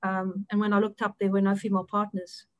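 A woman's voice: mid-range voice, little pitch movement, fast, dry recording, lo-fi sound. A middle-aged woman from South Africa with a South African accent, an Afrikaans native speaker, answering interview questions with many ums and restarts. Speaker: interview guest, audit partner.

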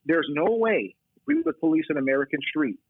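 A man talking about policing as a podcast guest, telling stories fast and emphatically with quoted exchanges. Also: a little high, sing-song, husky, no echo. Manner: fast, emphatic storytelling with quoted back-and-forth. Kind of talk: casual podcast chat. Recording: tinny phone-line audio.

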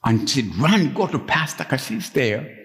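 A middle-aged man from Uganda preaching a sermon as pastor, telling stories and jumping up to high, emphatic peaks when stirred. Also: animated, unhurried. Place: church. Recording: long reverb of a church.

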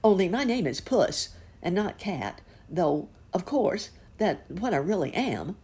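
A woman putting on a sorrowful storybook cat, high and wavering. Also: sing-song, measured pace, dark tone, breathy edge, heavily acted. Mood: sad. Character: sad fretful male storybook cat.

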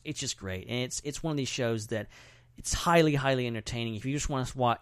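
A man's voice: lowish voice, no room sound, studio quality. A man hosting a podcast in casual, enthusiastic chat, effusive and prone to repeating phrases for emphasis. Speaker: podcast host.

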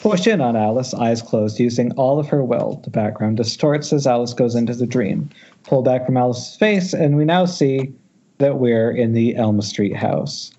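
Husky man wryly narrating a script. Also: mid-range voice, moderate pace, warm tone. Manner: wry, jokey ad-libs. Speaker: narrator reading stage directions. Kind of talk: loose, informal script narration at a table read. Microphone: internet voice call. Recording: muffled internet call.

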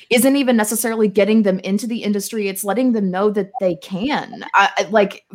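A woman talks as a guest on a podcast in a medium-pitched voice. She is informal and candid, thinking her points through in loose, conversational phrasing. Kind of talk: casual podcast chat.

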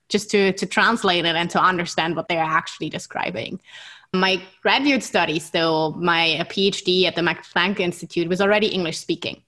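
Bright-voiced German woman scientist. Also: fairly low pitch. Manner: excitable, enthusiastic. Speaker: scientist guest. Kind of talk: interview conversation.

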